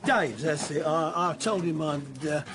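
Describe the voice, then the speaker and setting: Man playing a very slow, spaced-out old rocker. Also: a little high, a crowd in the background, lightly acted. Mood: vague, amiable. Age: older. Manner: hesitant, trailing off, mumbly. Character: vague, spaced-out ageing male prog-rock drummer. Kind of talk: radio comedy drama dialogue.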